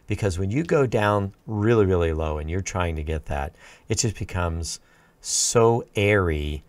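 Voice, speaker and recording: Middle-aged male band teacher, deep low voice, easygoing rambling reaction talk, close studio mic. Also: dry recording. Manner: relaxed, admiring, rambling with fillers. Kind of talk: casual reaction commentary. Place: small home studio room indoors. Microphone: large studio mic on a stand, close.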